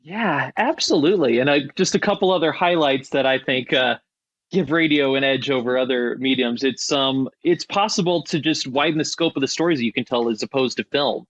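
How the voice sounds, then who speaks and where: A man's voice: mid-range voice, brisk, fairly bright tone, no room sound. A man in a casual podcast discussion, talking as one of the panel.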